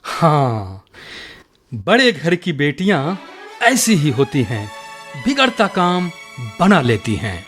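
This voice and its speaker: An aging village father in a radio play, calm and forgiving. He speaks slowly in a fairly high voice that swings widely in pitch, with music behind him. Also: full character voice. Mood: moved, approving. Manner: slow, measured, counselling. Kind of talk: Hindi radio drama acting.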